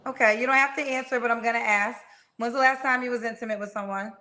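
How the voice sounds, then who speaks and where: A woman hosting a live dating stream with a bright, forward voice pitched a bit high. She fires off questions briskly and echoes every answer back before moving on. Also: fairly even intonation. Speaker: host of a dating show.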